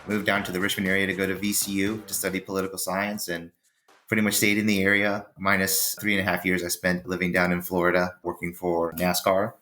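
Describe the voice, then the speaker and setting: A man's voice: low voice, completely flat delivery, fairly quick, sharp tone, faint music behind. A man originally from Peru, a lobbyist guest on a podcast, talking casually with lots of fillers and restarts. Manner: rambling, many fillers and restarts. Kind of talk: casual interview chat.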